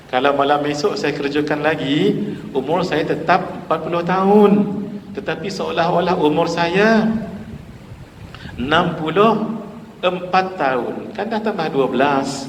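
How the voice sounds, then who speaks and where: A man in his forties with a Malaysian Malay accent, giving a lively sermon in a mosque and putting questions to the audience. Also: medium pitch, slight background noise. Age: 40s. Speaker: preacher giving a mosque lecture. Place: mosque hall. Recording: echoey hall reverb.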